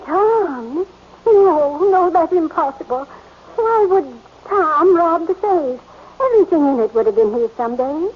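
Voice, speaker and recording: An actress playing a wealthy, imperious, middle-aged society matron with a theatrical manner in a 1940s radio drama. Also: female voice, very high voice, animated, a little slow. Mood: protesting. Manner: haughty, theatrical. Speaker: radio actor. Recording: muffled, noisy 1940s radio broadcast.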